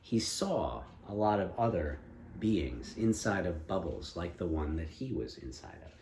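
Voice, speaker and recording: A man improvising a story as a storyteller, speaking in a deliberate, inquisitive and encouraging manner. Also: low voice, sing-song, darkish tone. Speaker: storyteller and co-host with a child. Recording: echoey room, faint background noise.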